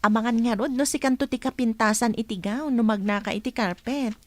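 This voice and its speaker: A woman's voice: fairly high pitch, brisk, darkish tone, no echo, natural voice. A woman acting as a curious, chatty young friend in a radio drama.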